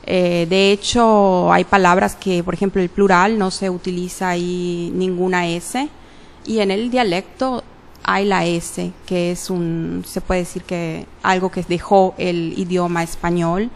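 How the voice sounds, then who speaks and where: A woman's voice: fairly low pitch, fairly even intonation, measured pace, vocal fry. A woman answering interview questions casually, hesitant, with many fillers and self-corrections. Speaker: interview guest, literature graduate.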